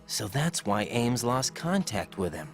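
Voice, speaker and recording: Dutiful game soldier, terse questions, pitch jumps around, faint music. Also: male voice, sing-song, a little slow. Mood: businesslike. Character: earnest, dutiful male special-forces soldier, questioning and incredulous. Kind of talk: dramatic video game voice acting.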